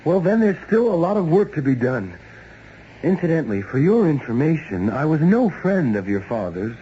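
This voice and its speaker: An actor playing a steady, wry ex-cop investigator in a 1970s radio drama, with plain-spoken, probing delivery. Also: male voice, a little high, normal speed. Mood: firm, matter-of-fact. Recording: dull, noisy old radio recording.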